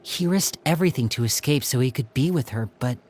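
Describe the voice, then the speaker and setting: A performer playing a calm, earnest youth in a video game, measured and telling things like a story. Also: medium pitch, a little hiss or hum, close to an everyday voice. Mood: reflective.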